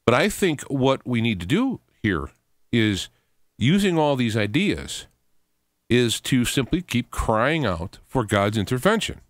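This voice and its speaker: A man hosting talk radio, speaking informally and earnestly in a halting monologue, often repeating and restarting his words. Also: mid-range voice, big pitch swings, no echo, studio quality. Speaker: radio show host.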